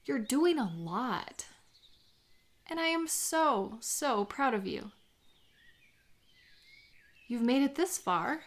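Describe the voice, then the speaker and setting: A woman playing a supportive, affectionate best friend in a comfort audio roleplay, speaking gently and sincerely in an intimate, conversational way. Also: on the high side, very animated, heavily acted. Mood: tender, earnest. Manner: gentle, sincere, encouraging, unhurried.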